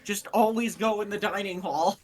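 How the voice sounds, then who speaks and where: A man's voice: high voice, fairly even intonation, normal speed, a little mellow. A man co-reading a story with friends, chatting in casual comic banter. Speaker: co-reader and character voice.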